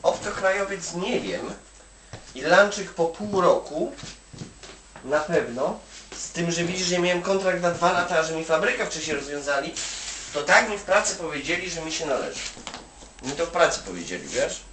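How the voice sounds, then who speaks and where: A man from Poland with a fairly high voice talks casually, as if on a phone call. He rambles colloquially, adding little "you know" tags as he goes. The sound is very echoey and he is some distance from the microphone. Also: lively intonation, normal speed, fairly bright tone, slight background noise. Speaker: Polish migrant worker advising an acquaintance. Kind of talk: casual one-sided phone chat. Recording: echoey room, distant from the mic.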